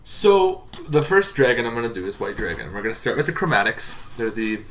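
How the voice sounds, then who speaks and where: A man's voice: mid-range voice, very animated, fairly quick, a bit bright, soft background music, rough recording. A man hosting a show on tabletop gaming lore, explaining things informally and in a rambling way to viewers. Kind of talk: casual explainer talk to viewers.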